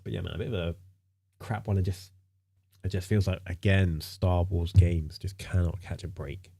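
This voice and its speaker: A man's voice: deep voice, fairly even intonation, moderate pace, gravelly, dark tone, husky. A man streaming live in casual chat, sounding nasal from a stinking cold, rambling with lots of fillers and repeated words.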